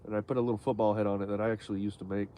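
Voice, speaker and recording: A man's voice: on the low side, flat delivery, rapid-fire, dark tone, a little hiss or hum. A man with a stuffy nose, casually talking through a live fishing stream from out on a lake, with lots of fillers and restarts. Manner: rambling, many fillers and restarts. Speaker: fishing livestream host.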